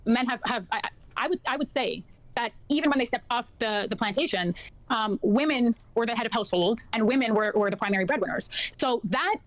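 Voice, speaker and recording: A woman in a conversation, making a careful argument with frequent pauses and restarts. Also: a little high, animated, dry recording, poor audio. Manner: measured, hesitant, many ums and restarts. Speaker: debate guest.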